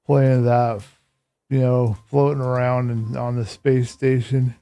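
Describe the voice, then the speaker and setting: Hoarse, mellow-voiced man streaming. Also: medium pitch, slow pace, a little hiss or hum. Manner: relaxed, reflective, answering chat aloud. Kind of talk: casual live-stream chat while gaming.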